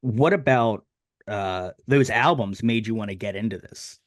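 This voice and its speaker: A man with a New Jersey accent hosting a casual podcast interview, asking questions haltingly with many fillers and restarts. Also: on the low side, no room sound, studio quality. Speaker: podcast host.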